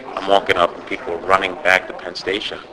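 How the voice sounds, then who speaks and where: Chatty low-voiced man, crowd behind. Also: completely flat delivery, quick pace, rough recording. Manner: chatty, enthusiastic, rambling with likes. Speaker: film review interviewer. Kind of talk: casual press interview.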